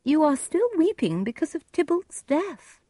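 A firm, commanding noble mother of middle age acted by a woman narrator in a storybook. She speaks insistently in a raised, animated pitch, recorded dry. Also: a little slow, no room sound. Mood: stern. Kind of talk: dramatized storybook reading.

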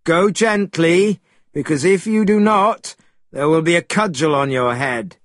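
Man voicing a bossy, high-pitched monkey hero. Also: expressive, unhurried, husky, no echo, studio quality. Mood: warning. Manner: commanding, emphatic, threatening. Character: bold, bossy, suspicious male monkey hero.

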